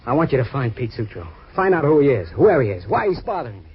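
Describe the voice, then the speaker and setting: An actor playing an anxious retired ex-fighter who stammers and pleads, in 1940s radio acting. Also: male voice, mid-range voice, very animated, fast, slightly rough, exaggerated voice. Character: anxious retired ex-fighter, plump, haunted by a name. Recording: dull old radio recording.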